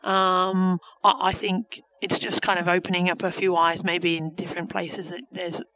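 A woman in her late twenties from England, with an English accent softened by Australian traces, chatting frankly as a footballer being interviewed. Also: on the low side, level tone, normal speed, crowd noise, rough recording. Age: 20s. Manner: chatty, frank, many fillers and restarts. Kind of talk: casual interview answers.

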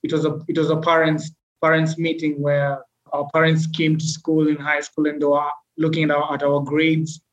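A young man tells his own story in an earnest, measured way. His voice sits a little high and stays flat, with little rise and fall. The recording is echoey and dull, like a roomy lo-fi mic. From Kenya. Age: 20s. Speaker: podcast guest. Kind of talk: personal storytelling in an interview.

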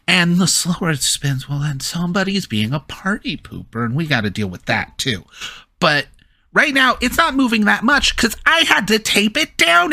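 A man's voice: high voice, creaky, pushed and bright, no echo, voice pushed up, full character voice. A man voicing a theatrical male carnival owner who drawls out grand showman speeches. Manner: grand, drawn-out showman delivery.

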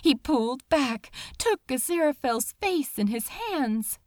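A woman narrates fiction in a high, expressive voice. She takes her time, yet keeps an urgent, emotive edge. The sound is close and dry. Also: measured pace, no room sound. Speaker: fan fiction reader. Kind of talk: dramatic scripted story narration.

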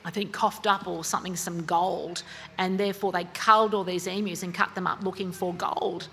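A woman's voice: lowish voice, steady tone, normal speed, fairly bright tone, a little hiss or hum. An Australian woman author talking about her novel in an interview, chatty and full of fillers and restarts. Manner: chatty, lots of fillers and self-corrections. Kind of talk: interview at a book talk.

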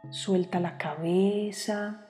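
A woman leads a guided meditation in a calm, soothing manner, leaving long pauses between short phrases. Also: medium pitch. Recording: long reverb, music behind. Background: music.

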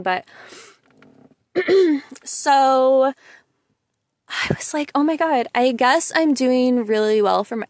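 A young woman with a sweet, slightly high voice talks straight to her listeners in a loose, venting monologue. She is chatty and emphatic, leaning on "like" and "you know". The recording is close and dry, with little room sound. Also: creaky, no echo. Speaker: vlogger.

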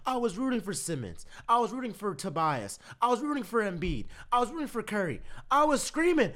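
A man's voice: high-pitched, sing-song, brisk, a bit bright. A man ranting heatedly at his team as a fan, repeating himself, his voice strained from cheering. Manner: heated, repetitive, exasperated ranting. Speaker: sports fan. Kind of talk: ranting commentary to camera.

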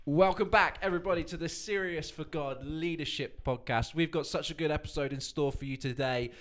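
A man's voice: on the high side, a little fast, fairly bright tone, soft background music. A man co-hosting a podcast, upbeat as he introduces the show and its guest. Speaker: podcast co-host.

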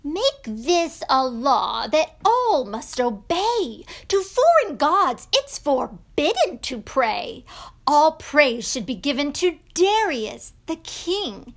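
Woman as a pointed, scheming storybook villain, high-voiced. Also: very animated, takes it slowly, fairly bright tone, heavily acted. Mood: commanding. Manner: insinuating, pointed, emphatic. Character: scheming Persian princes and spies, jealous, accusing tattletales. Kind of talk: children's storybook character voice.

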